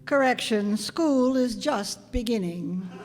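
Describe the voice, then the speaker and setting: A woman's voice: on the high side, animated, slow, a little mellow. A woman playing a wry, romantically hopeful schoolteacher in old-time radio comedy, with a dry, knowing delivery. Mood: wry, romantic. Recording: echoey hall stage recording.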